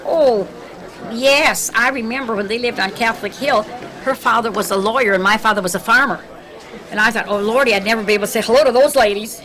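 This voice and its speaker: A woman's voice: fairly high pitch, a little fast, a bit bright. An older woman at a garden party, chatting and joking with friends and laughing often. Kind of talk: casual chat. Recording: noisy party crowd behind.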